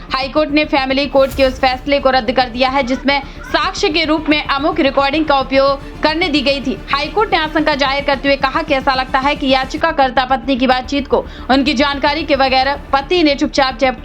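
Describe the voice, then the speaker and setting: A woman reading scripted news as a voice-over in a brisk, formal manner. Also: sits high, a bit bright. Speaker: news reader.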